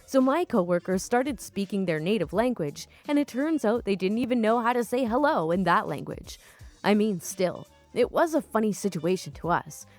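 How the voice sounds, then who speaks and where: Young woman storyteller, chatty and laughing, mid-pitched, casual reading. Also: animated, normal speed, a little mellow, faint music behind. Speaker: storytime YouTuber. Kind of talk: casual reading of online stories with commentary.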